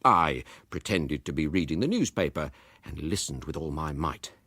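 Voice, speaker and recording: A man's voice: moderate pace, no room sound, clean studio sound, heavily acted. An actor playing a pompous, self-satisfied amateur detective, narrating his report in a precise, theatrical manner. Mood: eager, officious. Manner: pompous, precise, highly expressive. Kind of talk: theatrical first-person story narration.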